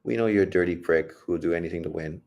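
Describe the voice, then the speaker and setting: A man's voice: low-pitched, fast, darkish tone, highs cut off. Profane man calling a fight live. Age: adult, old enough to say he got old. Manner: profane, blunt and joking. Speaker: livestream host reacting to a boxing fight. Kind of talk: casual live commentary, reading chat.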